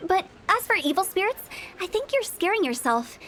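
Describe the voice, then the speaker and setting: A woman's voice: very high-pitched, big pitch swings, moderate pace, fairly bright tone, a little hiss or hum, heavily acted. An actress playing a playful, shrewd young woman who runs a funeral parlor in a game, confident and teasing. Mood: matter-of-fact. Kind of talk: game voice acting.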